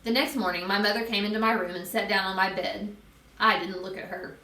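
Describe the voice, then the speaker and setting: A woman reading a children's book aloud as an expressive storyteller. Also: medium pitch, brisk, reverberant, rough recording.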